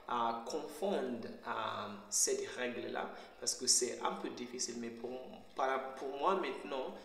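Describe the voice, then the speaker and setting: A young man from Zimbabwe whose first language is Shona, talking casually to his laptop camera in a bare kitchen, pausing often to search for words. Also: medium pitch. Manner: hesitant, searching for words with frequent pauses. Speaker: language learner vlogger. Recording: very echoey bare room with faint hum.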